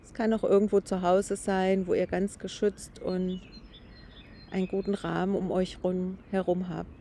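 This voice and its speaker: A woman guides a meditation with a very soft, mellow, dark-toned voice. She speaks gently and haltingly, taking her time. She is outdoors in a meadow, with birdsong and faint background noise around her. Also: lowish voice, little pitch movement. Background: birds, grazing horses, distant construction noise.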